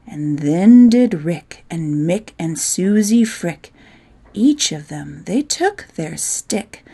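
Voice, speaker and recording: A woman reads rhyming verse as a storybook narrator, playful and theatrical, with measured phrasing. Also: mid-range voice, darkish tone. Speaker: poetry reader.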